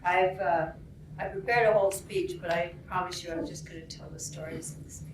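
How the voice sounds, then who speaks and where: A woman's voice: on the low side, steady tone, a little fast, background noise. Older woman, distant and roomy. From Alberta, Canada. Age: 70s. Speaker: storyteller. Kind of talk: spoken storytelling. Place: conference room.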